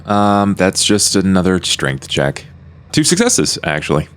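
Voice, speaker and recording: A man's voice: deep voice, big pitch swings, a little slow, fairly bright tone, smooth. A man playing a tabletop roleplaying game, talking casually and tentatively as he thinks aloud.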